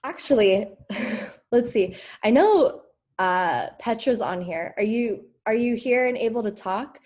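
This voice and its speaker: A woman's voice: fairly high pitch, lively intonation, measured pace, darkish tone. A woman hosting a group coaching call over video, talking in an enthusiastic, effusive way.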